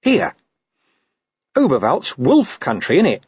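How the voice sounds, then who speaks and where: A man's voice: fairly high pitch, huge pitch swings, slow pace, darkish tone, no room sound, muffled recording, voice pushed up, full character voice. A man voicing a wary, boastful talking dog with grumbling, streetwise speech in an audiobook. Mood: furtive. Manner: grumbling, cocky, uses rough slang and bad grammar.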